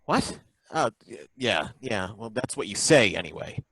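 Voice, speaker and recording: Fumbling city inspector, mid-pitched, lively delivery, dry close sound, old-time radio play. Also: male voice, gravelly, husky, no echo, heavily acted. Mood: suspicious. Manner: probing, hesitant, halting questions. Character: suspicious, bumbling male license inspector. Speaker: license inspector.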